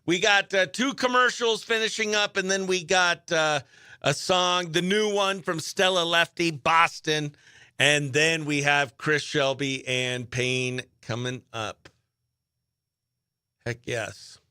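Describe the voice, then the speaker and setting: A man hosting a morning radio show with casual live chat, talking in an excitable, slangy, exclamatory way. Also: fairly high pitch, takes it slowly, clean tone, dry recording, clean studio sound. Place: dry radio studio.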